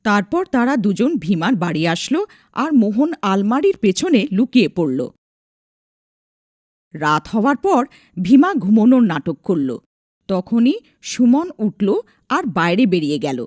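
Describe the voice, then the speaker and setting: Lively woman narrating a folk tale. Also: medium pitch, measured pace, pauses often, no echo, clean studio sound. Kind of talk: scripted storytelling narration.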